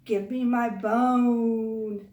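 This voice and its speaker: A woman voicing a tiny, eerie, demanding hidden creature from a storybook, drawing out its demands slowly. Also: on the high side, fairly even intonation, warm tone. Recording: echoey room, far from mic.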